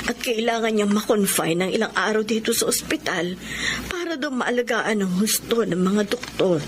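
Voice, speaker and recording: An actress playing a caring, concerned aunt in a Filipino radio drama, speaking earnestly. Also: female voice, mid-range voice, animated, moderate pace, lightly acted. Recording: noisy ambience behind.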